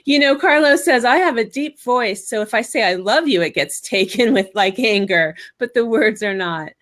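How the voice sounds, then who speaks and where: A woman with a fairly high voice hosts a casual interview, pausing and restarting as she feels her way into questions. She keeps nodding along with short affirmations. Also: lively intonation, a bit bright. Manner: hesitant, restarts, frequent affirmations. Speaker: podcast host.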